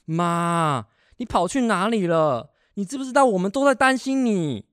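Man playing a high-pitched, fretful Taiwanese dad. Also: lively intonation, normal speed, fairly bright tone, clear, resonant tone, dry recording, studio quality. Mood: exasperated. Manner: fretful, scolding. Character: worried, exasperated middle-aged father and son. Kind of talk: amateur educational radio drama acting.

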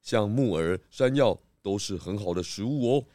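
A man's voice: fairly low pitch, expressive, moderate pace, warm tone, no echo, clean studio sound, close to an everyday voice. An actor playing an earnest, food-loving male pig detective in a children's audio drama, with hesitant questions. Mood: earnest, puzzled.

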